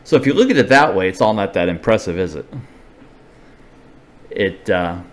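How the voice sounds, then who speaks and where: A man with a fairly low voice gives a casual technical explanation. He sounds informal and unscripted, thinking aloud and building each point one step at a time, like the presenter of a hobbyist video. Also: animated, normal speed.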